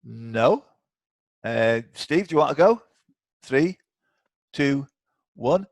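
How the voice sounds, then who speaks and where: A man's voice: medium pitch, lively intonation, slow pace, creaky, dry recording. Man hosting an online quiz, close dry sound. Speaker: quiz host. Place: indoors, online video call.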